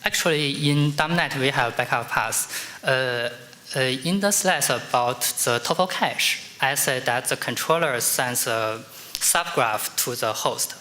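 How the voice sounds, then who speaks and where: Man, bright tense voice, halting answers, podium mic, conference hall. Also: mid-range voice. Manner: halting, with repeated fillers and restarts. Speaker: conference presenter answering questions.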